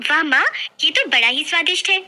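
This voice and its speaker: A woman's voice: sits high, lively intonation, fairly quick, bright, forceful tone, voice pushed up, full character voice. A woman voicing a sweet, brave, comforting little village girl in a folk story. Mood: delighted. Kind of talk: dramatized Hindi folk story acting.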